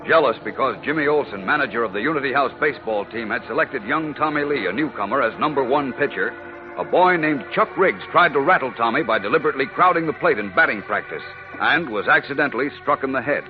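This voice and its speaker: A man's voice: medium pitch, lively intonation, quick pace. A man narrating a 1940s radio serial in a dramatic, declamatory style. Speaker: announcer and narrator. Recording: dull 1940s radio recording with music.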